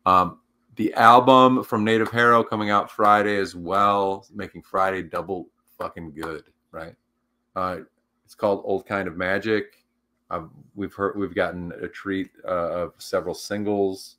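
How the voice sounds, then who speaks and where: A man's voice: sits low, fairly even intonation, unhurried, sharp tone. A man co-hosting a livestream talk show, chatting casually and rambling with lots of fillers. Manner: rambling, filler-heavy, upbeat. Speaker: co-host.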